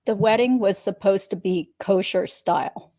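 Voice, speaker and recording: A woman's voice: medium pitch, normal speed, natural voice. A guarded woman on the witness side of a deposition, read by a woman. She answers in a mid-range voice with short, clipped replies and gives away very little. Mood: terse, evasive. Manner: clipped, minimal, flat answers. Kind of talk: reading a deposition transcript aloud.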